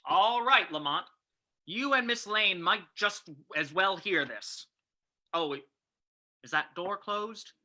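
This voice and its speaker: A woman playing a gruff, sarcastic male police commissioner with a clipped, hard-boiled manner in an old-time radio play reading. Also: mid-range voice, lively intonation, slow, sharp tone. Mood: gruff, accusing.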